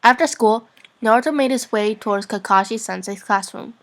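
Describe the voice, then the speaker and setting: A woman's voice: on the high side, brisk. A woman narrating fan fiction in an informal, amateur reading-aloud style. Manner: giggly, informal.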